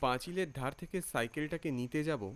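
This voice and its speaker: A man playing an irritable, thrifty office worker, telling his eerie evening as an expressive first-person cartoon story. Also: on the high side, a little fast, clean tone, close to an everyday voice. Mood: brooding, puzzled. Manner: expressive, exclaiming and questioning in dialogue. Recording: music bed behind the voice. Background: music.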